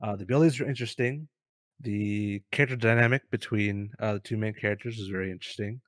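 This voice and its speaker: Male co-host for casual gaming talk: somewhat low voice, no room echo, keen but halting delivery. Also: fairly even intonation, studio quality.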